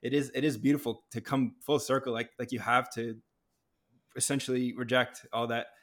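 A man hosting an interview, speaking softly and haltingly, often repeating words as he thinks. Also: mid-range voice, little pitch movement. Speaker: podcast host. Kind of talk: conversational interview.

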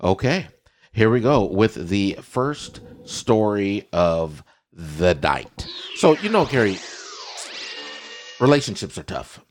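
A very deep-voiced man with a slightly raspy voice hosts a casual podcast. He tells stories with animated repetition and keeps the chat loose and playful. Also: slow pace, soft background music. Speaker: podcast host.

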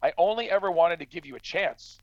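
An actor playing a stern, practical farmer in a radio drama, blunt and admonishing but fair-minded. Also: male voice, high voice, lively intonation, brisk, no room sound, muffled recording. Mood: wary, protesting. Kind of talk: true crime radio drama acting.

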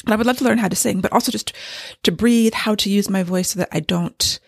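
A woman talking casually about her writing in a candid, rambling way, her voice strained and gravelly from overuse. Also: mid-range voice, fast, dry recording, studio quality. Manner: candid and rambling, with light laughs. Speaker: novelist giving a writing update. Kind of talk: casual vlog monologue. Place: home with hardwood floors.

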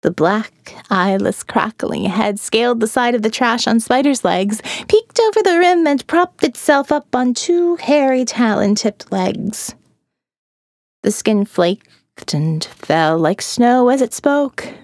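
A woman narrating a first-person horror audiobook, slow and hushed, often dropping to a whisper. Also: a little high, lively intonation, dry recording, studio quality.

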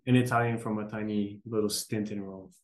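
A man from Brazil, a native Portuguese speaker, talking in fluent English as a podcast guest, quick and relaxed with a self-deprecating humor. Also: lowish voice. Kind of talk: casual interview conversation.

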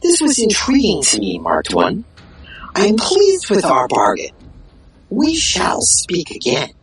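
A man voicing a mysterious otherworldly spirit in an audio drama, speaking in a deliberate, formal manner. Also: high voice, huge pitch swings, full character voice. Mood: calm, pleased. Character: mysterious otherworldly observer spirit who strikes bargains. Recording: clear noisy ambience.

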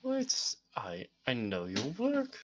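A courteous Turkish professor, a man, as a player puts him on, speaks formally and eagerly. His voice swings widely in pitch, often leaping up high when he is excited, and sits at a middle level otherwise. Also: slow, a little hiss or hum. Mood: polite. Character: Turkish male professor, polite and admiring.

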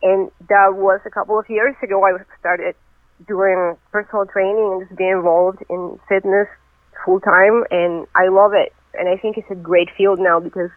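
A woman's voice: medium pitch, no room sound. A woman who grew up in Venezuela, a personal trainer, chatting as a guest and telling her story at length over the phone. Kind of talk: casual interview over the phone. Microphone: telephone. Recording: tinny phone line, dry.

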